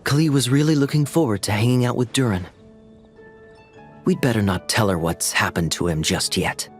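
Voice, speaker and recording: A man playing a level-headed, observant young traveler in an animated video game, measured and analytical in manner. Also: mid-range voice, soft background music, lightly acted. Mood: concerned.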